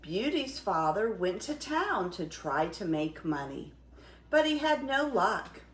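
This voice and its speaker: An older woman reads a fairy tale aloud in a slow, highly animated voice. Her delivery is theatrical and full of emphasis. The sound is roomy and echoing. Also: a little hiss or hum. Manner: theatrical, dramatic storytelling with emphasis. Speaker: grandmother reading stories to her granddaughter.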